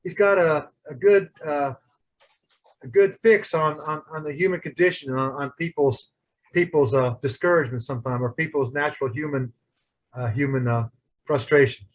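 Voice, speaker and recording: A man leading a church Bible study discussion, talking in a halting way with many restarts. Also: on the high side, highs cut off. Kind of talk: casual group discussion.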